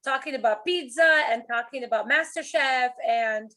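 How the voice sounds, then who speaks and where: A woman's voice: high-pitched, normal speed, pushed and bright. A woman leading an online writing class on a video call, encouraging her students, laughing often and repeating herself as she talks.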